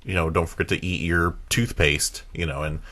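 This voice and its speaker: Deep-voiced man in casual podcast talk. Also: steady tone, moderate pace, a bit bright. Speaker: podcast co-host. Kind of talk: casual chat, pitching film ideas.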